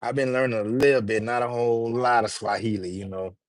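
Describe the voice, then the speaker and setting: A man's voice: mid-range voice, fairly even intonation, fairly quick, no room sound, studio quality. Slangy man chatting on a livestream. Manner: loose, slangy, talks straight to chat. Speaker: livestream host. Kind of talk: casual livestream chat with viewers.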